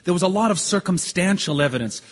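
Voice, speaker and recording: A thoughtful, persistent dissenter on a jury. His voice is husky and slightly high, ringing in a long theatre reverb. He speaks as in stage drama. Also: expressive, fast talker. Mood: measured doubt. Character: calm, thoughtful, doubting male juror who persists. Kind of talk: live audio theatre drama acting.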